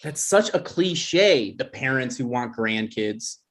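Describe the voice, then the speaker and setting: A man's voice: mid-range voice, lively intonation, slow pace, fairly bright tone. A man playing an insecure, defensive boyfriend in his twenties in a comedy script table read. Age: young adult.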